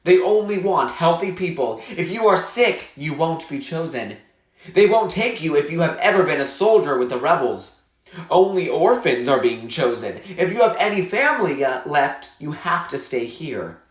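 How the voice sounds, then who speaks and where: A man's voice: on the high side, lively intonation, normal speed, lightly acted. A man reading the words of anxious refugees passing on rumors in a storybook. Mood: anxious, insistent. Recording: echoey room.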